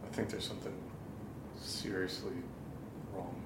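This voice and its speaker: A man's voice: deep voice, little pitch movement, a little slow. A man playing a concerned, insistent friend in a naturalistic horror audio drama, conversational and earnest. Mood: serious, concerned. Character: Steve, Nate's concerned, insistent drinking buddy. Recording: echoey room with noisy ambience.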